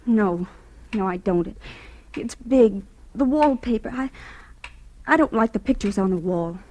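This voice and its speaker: An actress playing an anxious young heiress in a halting, dramatic old radio-drama style. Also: female voice, medium pitch, animated, a little mellow. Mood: nervous, defensive. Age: young adult. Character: high-strung, anxious young heiress, aged twenty-two. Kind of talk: vintage radio drama acting and first-person narration. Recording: noisy, crackly old radio recording.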